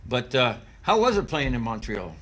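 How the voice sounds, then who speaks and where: A man's voice: medium pitch, sing-song, moderate pace, over a noisy crowd. A man hosting a sports interview, eager and enthusiastic, often repeating words as he talks. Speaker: interview host. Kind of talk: casual interview chat.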